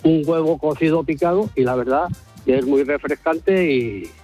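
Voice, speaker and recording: Male radio caller, slightly high pitch, polite, hesitant, casual explanation, light background music. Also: normal speed, mellow, husky. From La Mancha, Spain.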